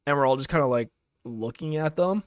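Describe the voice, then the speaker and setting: A man's voice: mid-range voice, fairly quick, clean tone, dry recording, clean studio sound. A young Canadian man chatting casually on a live game stream, rambling through stories with lots of fillers and reading chat messages aloud. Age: young adult.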